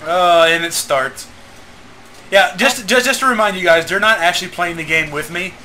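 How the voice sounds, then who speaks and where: A jokey, excitable man hosting a gaming channel and chatting casually with friends, often cutting in. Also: sits high, moderate pace. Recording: clearly noisy home voice-call recording.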